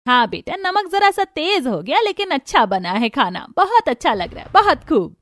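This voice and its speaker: A woman playing a warm, reassuring middle-aged mother-in-law in a cartoon story. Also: high voice, big pitch swings, moderate pace. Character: warm, affectionate middle-aged mother-in-law welcoming her new daughter-in-law. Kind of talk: Hindi cartoon story character acting.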